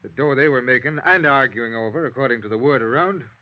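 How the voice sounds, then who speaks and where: An actor playing a chatty, world-weary homicide lieutenant in a 1950s radio drama, talking in a folksy, grumbling, familiar way. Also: male voice, mid-range voice, fast, natural voice. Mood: matter-of-fact. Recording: dull, noisy vintage radio recording.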